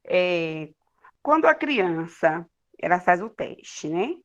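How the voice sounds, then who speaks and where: A woman's voice: lowish voice, big pitch swings, takes it slowly, muffled recording. A woman with a Brazilian accent, a health worker asking a question after a lecture. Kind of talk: audience question.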